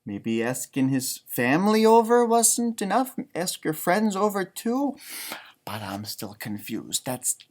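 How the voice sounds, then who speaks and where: A male teacher reads a picture book to young children in a very animated voice. His pitch moves widely as he slips into chatty asides, wondering aloud and repeating himself. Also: measured pace. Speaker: elementary music teacher reading a storybook aloud.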